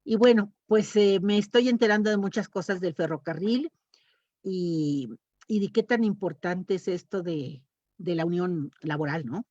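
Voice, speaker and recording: A woman's voice: lowish voice, measured pace, a little mellow, no room sound, dull audio. A woman chatting casually as a panelist in a round-table talk. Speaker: panelist talking about reading habits.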